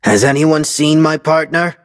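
A man's voice: on the high side, unhurried, natural voice. A man playing a cocky, deadly gunslinger who hunts demons, dry and menacing, as video game character lines.

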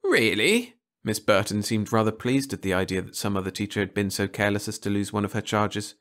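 A man's voice: on the low side, level tone, fast talker. A man reading a scripted short story as an audiobook narrator.

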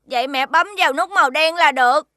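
A woman's voice: sits high, fast, sharp tone, no echo, studio quality. A woman voicing a cute, cheeky little magical companion in a cartoon. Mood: teasing. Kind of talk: Vietnamese animated series dubbing.

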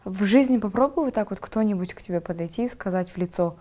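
A woman's voice: mid-range voice, quick pace, mellow. A young woman from Kazakhstan talks as a podcast guest, recorded on a lapel mic in a studio. She speaks earnestly and pleads with her listeners. She hesitates often as she looks for the right word. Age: young adult. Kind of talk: casual studio talk. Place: studio with dark backdrop.

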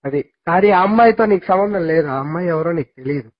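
A man's voice: on the high side, animated, fast talker, slightly rough. A young man talking casually on a recorded phone call, giving insistent advice. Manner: insistent, advising.

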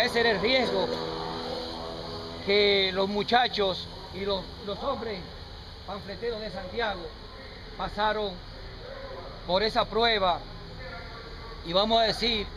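A middle-aged Dominican man with a Dominican Spanish accent giving an earnest, measured welcome speech at an outdoor ceremony. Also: high voice. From Dominican Republic. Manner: earnest, measured, speaking unamplified to a crowd. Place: outdoor plaza in rain. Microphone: handheld camera nearby. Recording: noisy outdoor street recording. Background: street traffic noise.

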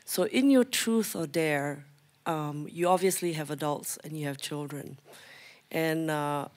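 Hesitant, slightly hoarse audience questioner. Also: male voice, on the high side, a little slow. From North Asia. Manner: hesitant, self-deprecating, many fillers. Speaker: audience member asking a question. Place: large auditorium.

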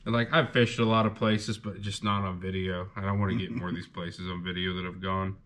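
Man, young adult, lowish voice, casual, laid-back rambling, fishing vlog host. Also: flat delivery, brisk, fairly bright tone. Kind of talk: casual haul video chat.

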